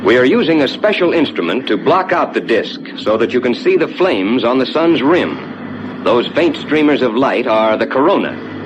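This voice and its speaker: Man acting as assured 1950s rocket captain, animated intonation. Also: lightly acted. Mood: assured, explanatory. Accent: American. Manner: measured, explanatory, reassuring. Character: assured male rocket ship captain guiding passengers through space. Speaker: captain and tour narrator. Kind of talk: 1950s scripted theme park ride narration. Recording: dull 1950s soundtrack with loud rumbling noise.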